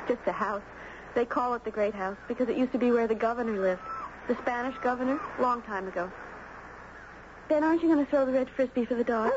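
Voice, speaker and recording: Actress doing curious enigmatic teen island girl, high clear young voice, old 70s radio play, muffled and hissy. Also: brisk, natural voice. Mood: artless, enigmatic. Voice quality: clear, youthful. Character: mysterious teenage island girl, artless, curious, self-possessed. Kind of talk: 1970s radio drama acting. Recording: dull, noisy old radio broadcast.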